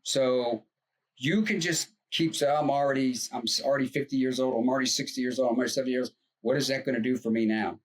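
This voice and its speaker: A man's voice: medium pitch, steady tone, quick pace, low-quality recording. A man co-hosting a podcast, talking earnestly and at length about money, with restarts and fillers. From United States. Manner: rambling, earnest, explains at length. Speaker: co-host, financial advisor. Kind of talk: casual podcast chat.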